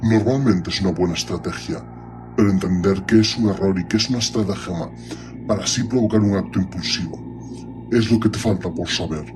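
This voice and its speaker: A man's voice: low-pitched, brisk, over music, lightly acted. A man playing an ancient superhuman warlord in an audio drama, speaking with cold, commanding disdain and menace. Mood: condescending. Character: ancient imperious superhuman warlord primarch, menacing and coldly condescending.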